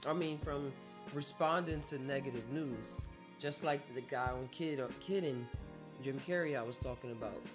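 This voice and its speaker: A man's voice: medium pitch, moderate pace. A man in his twenties hosting a solo podcast, talking in a rambling, reflective way and often correcting himself. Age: 20s. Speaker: podcast host. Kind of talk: casual solo podcast talk. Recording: guitar music playing under the voice.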